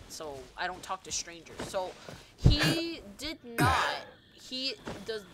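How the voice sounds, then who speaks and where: A woman streamer rambling excitedly about a game story while she plays, full of restarts and fillers. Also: medium pitch, noisy background. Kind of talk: rambling gameplay commentary. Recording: loud game music behind the voice.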